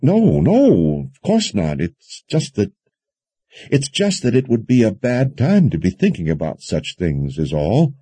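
A man voicing an earnest, nervous young mage in an audiobook, hesitant, halting and politely deferential. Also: fairly low pitch, wildly swooping pitch, warm tone, slightly rough, dry recording, voice pushed up, exaggerated voice. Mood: flustered. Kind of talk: audiobook character dialogue.

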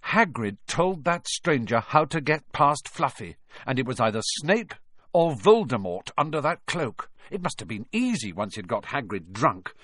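A man's voice: mid-range voice, sing-song, normal speed, a bit bright, slightly rough, dry recording, studio quality, pitched above the performer's own voice. A man voicing an earnest schoolboy in an audiobook, urgent and pleading to be believed. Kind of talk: audiobook character voice.

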